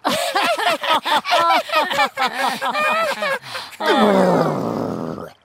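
An actor playing a small, giggly, mischievous clam who loves gossip in a children's audio folktale, with squeaky cartoonish delivery. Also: huge pitch swings, very slow, creaky, very noisy, heavily acted. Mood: sly, gossipy. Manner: chatty, punning, giggly.

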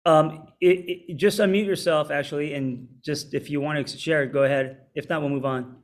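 A man's voice: a little high, steady tone, moderate pace. A man co-hosting the show, moderating a group call with brief prompts and thanks, often using fillers. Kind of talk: casual moderation.

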